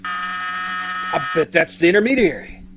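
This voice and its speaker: A man's voice: high voice, fairly even intonation, unhurried, pushed and bright, a little hiss or hum. A man playing a fretful, lovestruck newlywed, effusive and sentimental, in an old-time radio comedy.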